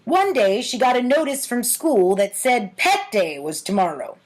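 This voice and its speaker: Woman, adult, storytime host, higher-pitched, very unhurried, tongue-in-cheek, casual asides. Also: very animated, slight background noise. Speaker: host of a storytime series reading own childhood writing.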